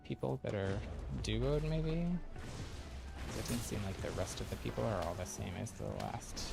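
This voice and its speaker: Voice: mid-range voice, animated, normal speed, gravelly. A game streamer giving casual, chatty live gameplay commentary, thinking aloud while playing. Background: game music.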